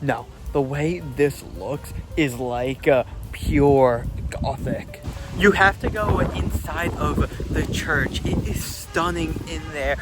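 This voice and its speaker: A young man talks his way through a walking travel vlog, chatty and a touch higher-pitched, pausing on fillers as he thinks aloud. Clear street noise and passing traffic sit under his voice, recorded outdoors on a handheld camera mic. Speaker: travel vlogger.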